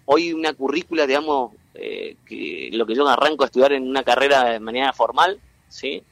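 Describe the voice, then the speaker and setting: Halting argentine man from entre ríos. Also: medium pitch, lively intonation, gravelly, a bit bright, no echo. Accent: Entre Ríos Argentine Spanish. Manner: halting, many fillers and restarts. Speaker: guest, tech cluster president. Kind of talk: interview, explaining at length.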